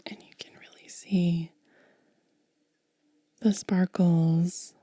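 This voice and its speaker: A woman speaking in a soft, breathy whisper as an ASMR host, gentle and unhurried, close to the microphone. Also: fairly low pitch, flat delivery, no room sound. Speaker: ASMR video creator and host.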